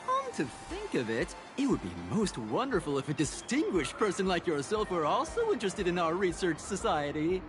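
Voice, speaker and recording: Theatrical, saccharine cult recruiter. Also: female voice, fairly low pitch, huge pitch swings, moderate pace, few pauses, noisy background. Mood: effusive preaching. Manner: effusive, sanctimonious, formal. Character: saccharine, pious female recruiter for a happiness cult. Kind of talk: theatrical video game voice acting.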